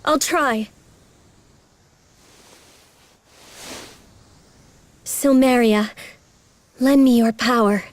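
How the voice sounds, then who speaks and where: A high, slow-spoken anxious young heroine. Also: female voice, a little hiss or hum. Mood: earnest. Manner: earnest, hesitant. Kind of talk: scripted video game cutscene acting.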